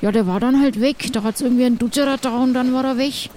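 Chatty, plucky ship's crew member played by a woman, higher register, loose colloquial delivery. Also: steady tone, quick pace, warm tone, a little hiss or hum, natural voice. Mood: puzzled. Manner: colloquial, rambling. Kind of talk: improvised tabletop roleplay.

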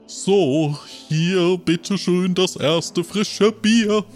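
A man's voice: high voice, extremely slow, soft background music. A man voicing a chirpy, giggly, polite bartender in a role-playing game. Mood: giggly, playful.

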